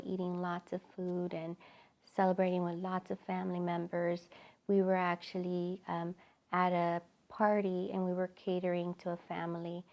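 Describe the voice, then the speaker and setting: A middle-aged woman from El Salvador recounts her childhood in a low, gravelly voice. She speaks in a halting, reflective way, pausing often and filling gaps with soft hesitations, and her pitch stays flat. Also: dull audio. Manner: halting, reflective, many pauses and fillers. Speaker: ministry founder. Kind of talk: personal testimony.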